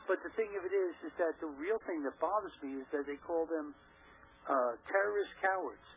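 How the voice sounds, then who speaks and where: A man calling a radio show, chatting in a casual, halting way over a tinny phone line. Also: on the high side, husky.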